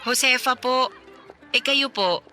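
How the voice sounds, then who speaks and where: A polite young housemaid. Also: female voice, sits high, takes it slowly, bright, forceful tone, faint music behind, exaggerated voice. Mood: polite curious. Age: 20s. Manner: polite, deferential, curious. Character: polite shy young housemaid woman from the province. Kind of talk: Tagalog radio drama acting.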